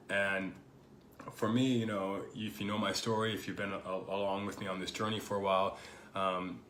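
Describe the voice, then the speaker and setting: A man with a lowish, slightly hoarse voice, still getting over a cold, speaking in a roomy space with a faint hiss behind him. He talks as the host of his own show, thoughtful and rambling, often pausing on "you know" as he works through his ideas. The delivery is casual and personal rather than polished. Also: fairly bright tone. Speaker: podcast host. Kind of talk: casual reflective monologue. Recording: echoey room with faint background noise.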